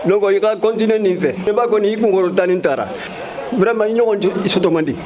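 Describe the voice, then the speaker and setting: A man giving farewell words in praise of a departing colleague, with a warm manner. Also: high-pitched, a little fast. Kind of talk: farewell speech.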